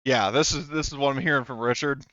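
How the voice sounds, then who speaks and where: Male game master, casual and meandering, clean smooth tone, lo-fi dry sound. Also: mid-range voice, fast, a bit bright, dry recording. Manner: rambling, restarts sentences often. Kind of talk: casual tabletop game narration.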